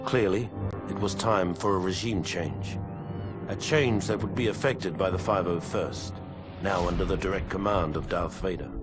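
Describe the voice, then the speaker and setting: An actor playing a weathered veteran clone trooper, narrating his war memoirs for a video game in a measured, grave, wry soldierly manner. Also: deep voice, close to an everyday voice. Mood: proud, grim. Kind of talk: scripted in-character journal narration for a game. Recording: music behind the voice.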